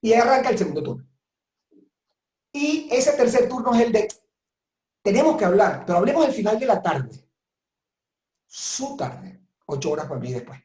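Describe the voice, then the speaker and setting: A man's voice: sits high, very animated, normal speed, pauses often, darkish tone. A man speaking as a guest consultant in a webinar interview, giving advice in an emphatic, reflective way. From southern Italy. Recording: roomy, echoing sound.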